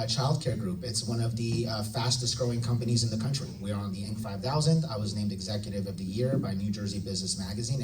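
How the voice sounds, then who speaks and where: Fast-talking man in an echoey hall. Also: lowish voice, a little mellow, far from the mic, a little hiss or hum. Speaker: congressional candidate. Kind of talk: campaign forum speech. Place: large event hall.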